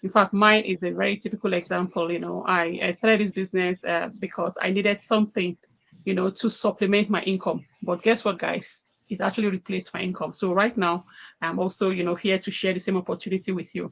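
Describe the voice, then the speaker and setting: A woman from Nigeria speaking haltingly with many fillers as a guest on a video call. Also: lowish voice, flat delivery, creaky, a bit bright, low-quality recording. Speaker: guest on live stream.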